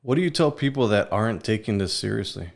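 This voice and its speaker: Male podcast host, lowish gravelly voice, casual interviewing style. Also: expressive, fast.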